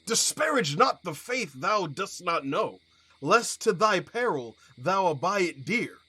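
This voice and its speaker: An actor playing a proud, combative young lover in a Shakespearean audio drama, declaiming verse in short phrases. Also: male voice, high voice, very animated, slow pace, bright, forceful tone, slightly rough, heavily acted, rough recording. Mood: proud, dismissive.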